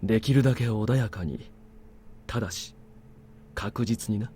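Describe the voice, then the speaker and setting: An actor playing a cool, commanding officer in a Japanese visual novel, curt, composed and dryly sardonic. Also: male voice, lowish voice, brisk, a little hiss or hum, close to an everyday voice. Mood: curt, cold. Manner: curt, composed, quietly menacing. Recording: game music under the voice.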